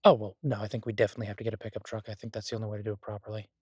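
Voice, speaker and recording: A man playing a devoted, easygoing husband who cooks, talking in a casual, halting, reassuring way in an intimate audio roleplay. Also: lowish voice, little pitch movement, darkish tone, husky, no echo, clean studio sound. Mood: upbeat, casual. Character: devoted, easygoing husband and cook, gently teasing. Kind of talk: intimate one-sided audio roleplay, conversational.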